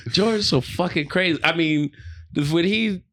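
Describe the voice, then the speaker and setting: A man's voice: on the high side, moderate pace, a bit bright. A man co-hosting a podcast, speaking casually with slang and frequent profanity. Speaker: podcast co-host. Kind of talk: casual chat.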